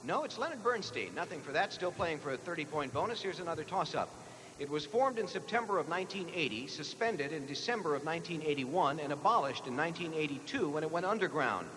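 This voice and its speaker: A man's voice: fairly high pitch, animated, quick pace, a bit bright. An American man hosting a live quiz show, reading questions to the contestants. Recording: echoey hall, clear background noise.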